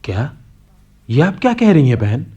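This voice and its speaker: An actor playing a kindly, concerned middle-aged postman in a Hindi radio play, speaking gently and coaxingly. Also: fairly high pitch, very animated, moderate pace, slightly rough, lo-fi sound. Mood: shocked sympathy.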